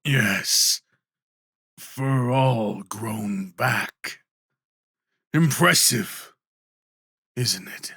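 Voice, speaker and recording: Man voicing a slow, teasing infernal wolf. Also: mid-range voice, sharp tone, slightly rough, dry recording, clean studio sound, exaggerated voice. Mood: smirking, reassuring. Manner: slow, deliberate, formal speech. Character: huge male infernal wolf, stoic, patient, teasing. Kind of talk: ASMR roleplay character acting.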